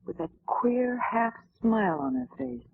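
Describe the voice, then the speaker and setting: An actress playing an inquisitive, easily spooked lady companion to a sleuth, in breathy, dramatic 1940s radio mystery style. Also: on the high side, animated, unhurried, full character voice. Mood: uneasy, curious. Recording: muffled, crackly 1940s radio recording.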